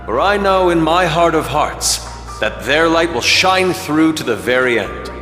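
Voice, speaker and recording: An earnest, theatrical hero from a video game. His forceful, slightly high voice makes grandiose declarations that echo widely. He sounds idealistic throughout. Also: animated, a little slow. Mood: fervent. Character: earnest, theatrical heroic ally. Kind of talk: dramatic video game cutscene acting. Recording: echoey hall reverb, music behind.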